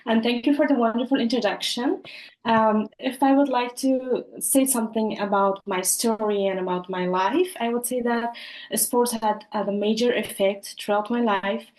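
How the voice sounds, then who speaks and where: A woman's voice: on the high side, fairly even intonation, moderate pace, darkish tone, roomy sound, low-quality recording. A young woman from Afghanistan who grew up in Pakistan, answering interview questions earnestly, with many fillers and restarts. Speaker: podcast guest, Olympic judoka.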